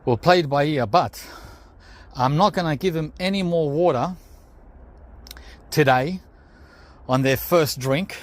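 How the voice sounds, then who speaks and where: Greek-born guy doing a garden vlog outside, worn out from digging, keeps saying guys, talks you through it. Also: on the high side. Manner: chatty, explains step by step, addresses viewers as guys. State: tired after heavy digging. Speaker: gardening channel host. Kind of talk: casual vlog talk to camera. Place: outdoors in a home garden.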